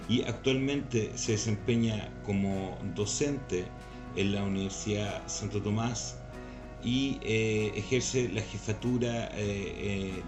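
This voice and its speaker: Chilean man hosting in an echoey room. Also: fairly low pitch. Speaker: interview host. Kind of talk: semi-formal interview hosting. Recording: echoey room, music behind.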